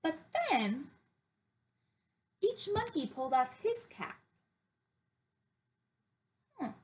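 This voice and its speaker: A woman teacher reading a picture book to young children in an expressive, sing-song, emphatic way and putting questions to them. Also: high-pitched, very slow, a little hiss or hum.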